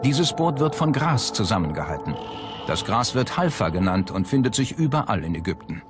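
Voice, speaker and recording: A man reading scripted documentary narration in a measured, factual manner. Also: lowish voice, sing-song. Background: background music.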